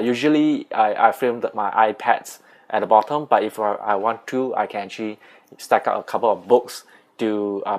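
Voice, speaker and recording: A young man from Singapore with a Singaporean English accent, hosting a casual question-and-answer video from a small room at home, speaking plainly through an earphone mic with lots of uh and um fillers. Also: on the low side. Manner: plain and unhurried, with frequent uh and um fillers. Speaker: video host. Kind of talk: casual Q&A vlog.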